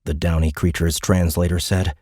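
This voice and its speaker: A man's voice: deep voice, little pitch movement, moderate pace, warm tone, husky, no room sound, studio quality. A man narrating a science fiction audiobook in a dramatic, scripted storytelling style.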